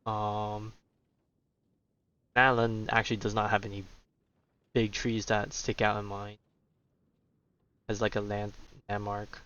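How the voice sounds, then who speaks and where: A man's voice: lowish voice, completely flat delivery, unhurried, pauses often, a bit bright, clean tone, lo-fi sound. A man giving casual video game commentary, rambling and thinking aloud as he plays.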